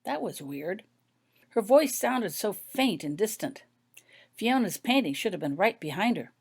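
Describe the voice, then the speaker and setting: A woman's voice: medium pitch, very animated, a little slow, gravelly, husky. A woman reading her own story aloud as scripted audiobook narration.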